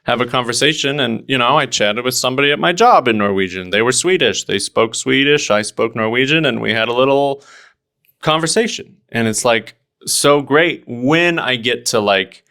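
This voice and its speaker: A man's voice: medium pitch, animated, normal speed, pushed and bright. A young man from Oregon talking casually and earnestly as a guest comedian, with lots of fillers and restarts. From Oregon, United States. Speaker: stand-up comedian, podcast guest. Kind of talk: casual conversation.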